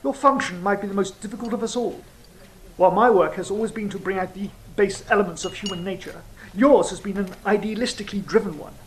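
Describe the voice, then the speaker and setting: Audio drama casting: performer as sly fallen angel, philosophical and cynical, measured theatrical delivery, medium pitch, slightly noisy ambience. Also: natural voice. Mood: sardonic, philosophical.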